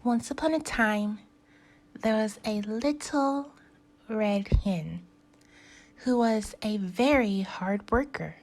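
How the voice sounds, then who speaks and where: A woman teacher reading a children's story aloud, with deliberate phrasing and pauses for young learners. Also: medium pitch, darkish tone, rough recording.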